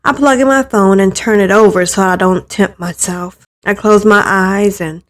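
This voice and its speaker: Woman, mid-range voice, expressive first-person narration, conversational, acts out the lines. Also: medium pitch, normal speed. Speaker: visual novel player reading aloud.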